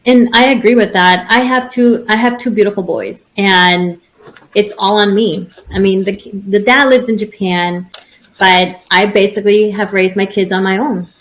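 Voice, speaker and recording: A woman's voice: medium pitch, steady tone, moderate pace, rough recording. A woman in her thirties co-hosting a podcast, talking in a rambling, casual way with many restarts. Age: 30s. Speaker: podcast co-host. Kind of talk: casual chat.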